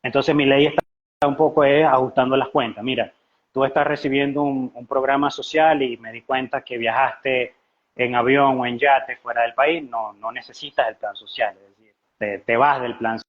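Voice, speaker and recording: A Venezuelan man explains things at length in a mid-pitched voice, like an analyst invited onto a live show. He is talkative and likes to pose questions as he goes. The audio is somewhat dull and lo-fi. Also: steady tone, moderate pace. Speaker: guest economist and analyst. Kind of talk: conversational economic commentary.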